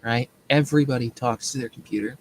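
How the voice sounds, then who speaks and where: A man's voice: medium pitch, fairly even intonation, fast talker, a little hiss or hum. A man hosting a political commentary show in a casual, joking way, rambling and repeating himself as he mocks. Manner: rambling, repetitive, mocking.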